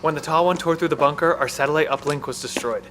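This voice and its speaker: A man's voice: on the high side, quick pace, fairly bright tone, a little hiss or hum, lightly acted. An actor playing an anxious, protective male bunker technician and new father in an audio drama. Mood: grim, worried.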